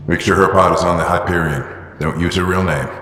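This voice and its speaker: An actor playing a stern, secretive middle-aged explorer in a video game, terse and commanding. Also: male voice, low-pitched, fairly even intonation, a little fast, pushed and bright. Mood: serious, urgent. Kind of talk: video game dramatic acting. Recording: echoey hall, faint noise.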